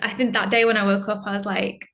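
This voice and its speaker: A woman's voice: medium pitch, level tone, quick pace, creaky, a bit bright. A young woman, a band's singer, chatting casually as an interview guest, with lots of fillers and frequent laughter.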